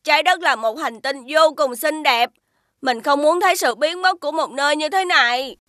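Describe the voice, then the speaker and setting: A woman voicing a small, kind and curious alien creature in a children's cartoon, gentle and earnest. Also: sits high, normal speed, no echo, clean studio sound.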